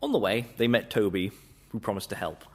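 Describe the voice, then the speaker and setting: A man narrating a children's railway story in a steady, expressive read-aloud style. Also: lowish voice, fairly quick, breathy edge.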